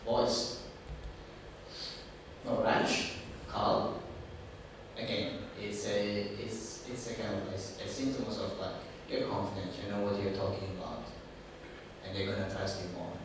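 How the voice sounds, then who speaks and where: A man's voice: on the low side, level tone, a little slow, pauses often, background noise. A man with an Italian accent giving a casual seminar talk on job interviews, chatty and full of fillers and repeated words. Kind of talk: informal career seminar talk. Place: large echoey hall. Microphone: distant from the microphone. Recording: very echoey hall, voice from far off.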